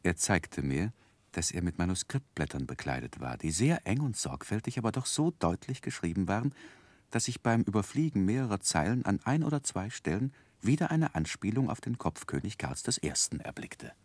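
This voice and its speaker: Casting: reflective storyteller of his own youth, low voice, lively intonation, articulate, vintage radio drama. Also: normal speed, dry recording. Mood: fond, reflective. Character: grown man gently recounting his own boyhood, thoughtful. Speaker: first-person narrator. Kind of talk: scripted literary narration in 1960s German radio play.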